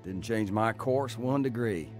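An actor playing a tough, plainspoken ex-criminal in a dramatized radio story. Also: male voice, fairly low pitch, a little slow, over music, natural voice. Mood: wry, matter-of-fact. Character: tough male ex-criminal recounting his bootlegging youth. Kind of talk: dramatized first-person narration and dialogue.

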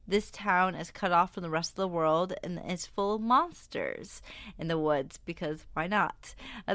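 A young woman from Vermont co-hosting a book podcast in casual chat, sounding stuffed up from a cold, chatty with quick asides. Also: on the low side, a bit bright, dry recording, clean studio sound. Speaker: podcast co-host.

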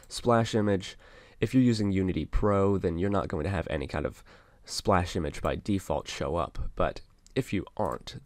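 A man narrating a casual software tutorial, explaining things step by step as an instructor. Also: low-pitched, vocal fry, no echo.

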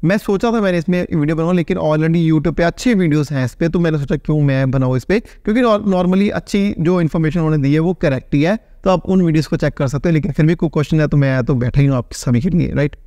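Man in his 30s, vet explainer to camera, fairly high voice, dry close sound; easygoing and conversational. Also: quick pace, few pauses, mellow, dry recording. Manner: conversational, keeps adding a checking "right?". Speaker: veterinarian answering viewer questions. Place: small plain indoor room, seated.

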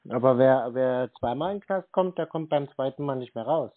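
A man chatting casually on a call, answering in short, deadpan, needling remarks. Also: medium pitch, a little fast, slight background noise. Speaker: scam baiter taking calls. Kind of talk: casual phone chat. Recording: dull roomy phone-quality audio.

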